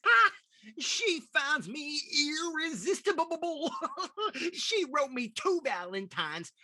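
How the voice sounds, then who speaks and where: A woman's voice: sits high, sing-song, slow, pushed and bright, no room sound, exaggerated voice. A woman playing a gloating, lovestruck male butcher with exaggerated, boastful delivery in an old-time radio farce.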